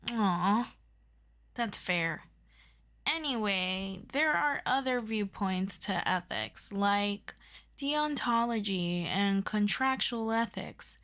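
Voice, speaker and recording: A woman playing an earnest, eager superhero best friend in an audio roleplay, tutoring the listener in a halting, casual, self-deprecating way. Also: mid-range voice, a bit bright. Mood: casual, thoughtful.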